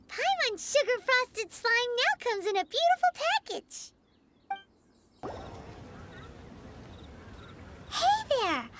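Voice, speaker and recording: Squeaky, bubbly little fairy girl. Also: background noise, full character voice. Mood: eager. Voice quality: squeaky, childlike. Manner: bubbly, speaks of herself in third person. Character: tiny excitable greedy fairy girl companion. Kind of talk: video game voice acting.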